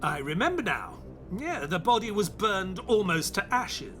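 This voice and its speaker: An actor playing an earnest, curious doctor and loyal sidekick in an audio drama, polite, questioning and easily astonished. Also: male voice, high voice, sing-song, unhurried, bright, forceful tone, slight background noise, exaggerated voice. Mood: puzzled, thoughtful. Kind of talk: audio drama acting with first-person narration.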